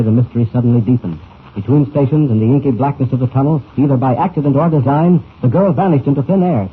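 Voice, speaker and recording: A man's voice: medium pitch, slightly rough, phone-quality sound. An American man announcing a radio serial in dramatic 1940s style, theatrical and emphatic, on a scratchy old recording.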